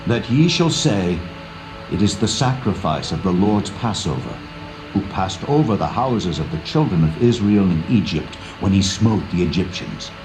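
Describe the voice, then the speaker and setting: A man's voice: sits low, lively intonation, moderate pace, rough recording. An actor playing a solemn male prophet instructing his elders in an earnest, declamatory way, in a dramatised scripture reading. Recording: music behind the voice.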